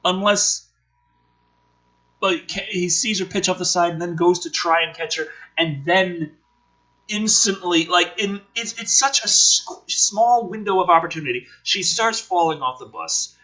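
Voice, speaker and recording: A husky-voiced man reading a script aloud dramatically, with exasperated, sweary commentary as host. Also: high voice, unhurried, pushed and bright, rough recording. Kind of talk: animated script read-aloud with profane commentary.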